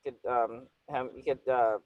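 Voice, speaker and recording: A man's voice: medium pitch, steady tone, normal speed, smooth, no room sound, lo-fi sound. A man from California hosting a casual video-call chat, rambling and teasing, swearing and leaning on fillers. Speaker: channel host.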